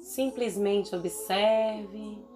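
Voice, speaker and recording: A woman with a Brazilian Portuguese accent leading a guided meditation in a soothing, deliberate manner, leaving long pauses between phrases. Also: medium pitch, steady tone, a little mellow, faint music behind.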